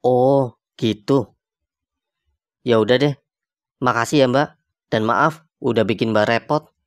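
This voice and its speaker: A man's voice: medium pitch, takes it slowly, smooth, no room sound. Man playing a spooked young Jakarta hotel guest. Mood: polite, casual. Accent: Jakarta Indonesian. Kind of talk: animated horror-comedy voice acting.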